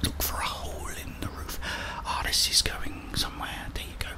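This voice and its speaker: Whispering British man, ASMR gamer. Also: normal speed. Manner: muttering to himself, thinking aloud. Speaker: gaming streamer. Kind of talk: whispered ASMR game commentary.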